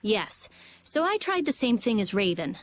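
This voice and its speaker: A woman's voice: mid-range voice, sing-song, moderate pace, a little hiss or hum, close to an everyday voice. An actress playing a blunt, serious young female researcher in a video game, speaking in a matter-of-fact way. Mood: somber, explaining.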